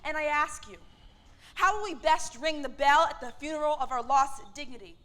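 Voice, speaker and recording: A woman's voice: high-pitched, normal speed, a bit bright. A woman playing a fiery factory worker in a staged reading, rallying others to revolt with insistent oratory. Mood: rousing. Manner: oratorical, insistent, building rhetorical questions.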